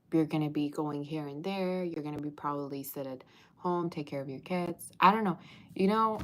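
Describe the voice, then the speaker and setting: Casual livestream tarot reader, woman with a low voice, playful and chatty, faint hiss behind. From Russia. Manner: chatty, teasing, sings snatches of old songs. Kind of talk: livestream chat and tarot reading.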